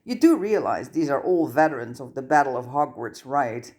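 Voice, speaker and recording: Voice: medium pitch, expressive, normal speed, darkish tone, lightly acted. A reader voicing a wry, unbothered male chief of wizard law enforcement, dry and sardonic.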